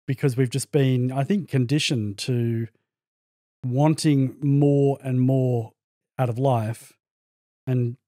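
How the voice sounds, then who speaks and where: An Australian man speaks as a podcast guest, thinking aloud and searching for his words as he tells his story. His voice is mellow and relaxed. The recording is close and dry, with no room sound. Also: medium pitch, takes it slowly, no room sound. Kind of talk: casual conversation.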